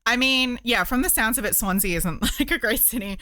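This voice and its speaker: A woman's voice: on the high side, a little fast, pushed and bright, no room sound. A woman hosting a casual livestream, chatting in a rambling way and thinking aloud as she goes. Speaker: livestream host. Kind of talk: casual livestream chat while crocheting.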